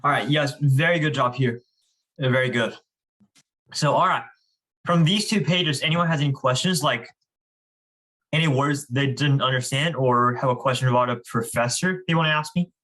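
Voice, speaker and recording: A man's voice: medium pitch, normal speed, fairly bright tone, low-quality recording. Male reading club leader, casual and encouraging, teaching a group over a video call.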